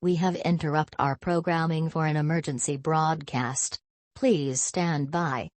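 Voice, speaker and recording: A synthetic woman's voice reading scripted emergency broadcast announcements in a stiff, impersonal, measured way. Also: low-pitched, fairly even intonation, dry recording.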